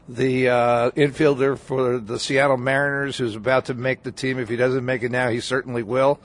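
A man speaking in an ordinary middle register, guesting on a radio talk show. He talks loosely and at length, often restarting his sentences and filling the gaps with "uh" and "you know.". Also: medium pitch. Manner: rambling, many restarts and fillers. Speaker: baseball writer, guest. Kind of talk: casual radio interview chat.

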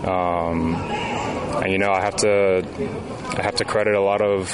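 A man's voice: deep voice, flat delivery, measured pace, a crowd in the background. A young man from South Florida, a baseball player, answering interview questions with many ums and restarts. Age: 20s. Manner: hesitant, many fillers. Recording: outdoor noise behind.

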